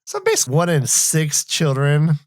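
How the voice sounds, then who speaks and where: Male co-host, slightly high pitch, lively swings, unhurried, dry close sound, casual book chat. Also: no echo. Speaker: podcast co-host.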